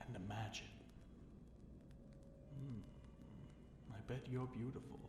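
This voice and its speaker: A man playing an obsessive, seductive fallen dragon king held captive, speaking in a slow, teasing, theatrical purr for an intimate ASMR roleplay. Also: medium pitch, lightly acted. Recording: roomy echo with faint noise.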